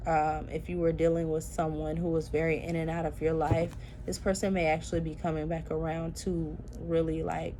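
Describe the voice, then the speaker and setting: A woman's voice: deep voice, darkish tone, slight background noise. A tired, sleepy-sounding woman giving a chatty tarot reading and talking straight to her viewers, with plenty of fillers. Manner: chatty, addresses viewers as y'all, many fillers. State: sleepy, tired early morning. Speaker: tarot reader.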